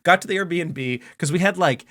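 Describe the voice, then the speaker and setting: A man with a fairly high, bright voice co-hosts a podcast while slightly under the weather. He tells a comedic personal story in a loose, conversational way. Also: normal speed. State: sick with an illness. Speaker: podcast co-host. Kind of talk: casual comedic chat, telling an anecdote.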